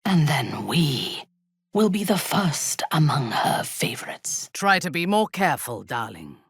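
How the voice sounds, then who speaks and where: A ruthless female warrior commander from a fantasy video game. Her voice is deep and breathy, recorded close and dry. She speaks with slow, haughty menace and dark amusement, in formal, cutting words. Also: sing-song, no room sound, heavily acted. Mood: intimate, hushed. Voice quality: husky, breathy. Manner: haughty, measured, formal diction with biting disdain. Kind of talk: video game character dialogue.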